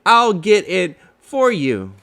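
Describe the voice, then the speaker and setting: A man's voice: high-pitched, huge pitch swings, takes it slowly, creaky, a bit bright, pitched above the performer's own voice, heavily acted. A man voicing a kind, patient young boy in a children's storybook. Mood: kind, reassuring. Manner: kindly, reassuring.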